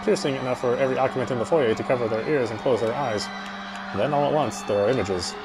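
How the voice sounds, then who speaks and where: A man's voice: mid-range voice, fast, background noise. A man reading a game's story aloud as dramatic narration, as a player would. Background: game music.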